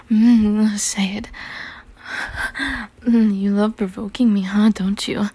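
A woman's voice: medium pitch, a little mellow, husky. A woman whispers nearly every line in a thin, airy voice with heavy breath. She takes her time between phrases and talks in a teasing, commanding way, as in whispered roleplay audio. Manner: mostly unvoiced whisper.

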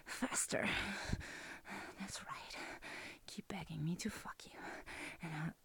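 A woman playing a seductive, teasing, dominant lover in an intimate audio roleplay, breathy and commanding, often dropping into a whisper. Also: low voice, takes it slowly, full character voice. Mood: urgent, commanding. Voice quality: breathy, husky.

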